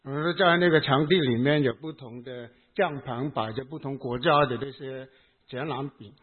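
A man with a Hong Kong accent preaching a sermon in short phrases, pausing between them for an interpreter. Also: mid-range voice, slightly rough. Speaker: pastor. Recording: tinny phone-like sound.

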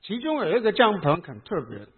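A man with a Hong Kong accent preaching a sermon in short phrases, pausing between them for an interpreter. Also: high-pitched, huge pitch swings, crowd noise. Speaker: pastor. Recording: tinny phone-like sound.

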